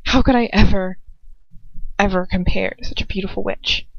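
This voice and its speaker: A woman reading a story aloud in an expressive amateur narration, with dramatic emotion and casual asides. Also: mid-range voice, moderate pace. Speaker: fan fiction reader. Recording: dull, lo-fi, dry home recording.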